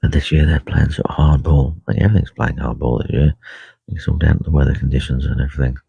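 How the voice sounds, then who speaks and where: A man with a croaky voice talking quietly and unhurriedly on a live stream from a lake bank, full of ums and uhs. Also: rumbling low, steady tone, fast, dry recording. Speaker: carp angler livestreaming. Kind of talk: casual live stream chat. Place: lakeside fishing swim outdoors. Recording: dull lo-fi live stream audio.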